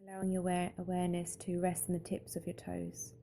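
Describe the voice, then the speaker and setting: A woman leads a slow guided meditation in a very soft, dark, mellow voice. Her delivery is calm and unhurried, staying very even in tone, with pauses between gentle instructions. A light background noise sits behind her. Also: fairly low pitch, creaky.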